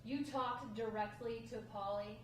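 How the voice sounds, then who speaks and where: A woman's voice: a little high, steady tone, measured pace, close to an everyday voice. A woman reading the part of a worried, doubting wife in a drama script table read. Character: Lauren, worried, doubting wife of a gang recruit. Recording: very echoey room, distant mic, faint noise.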